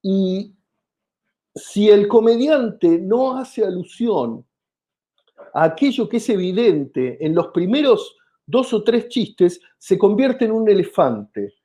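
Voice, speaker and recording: A man's voice: sits high, big pitch swings, takes it slowly, warm tone. A man from Argentina with an Argentine accent, explaining his ideas as a comedian in an interview, with many hesitations and restarts. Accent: Argentine Spanish. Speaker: comedian guest.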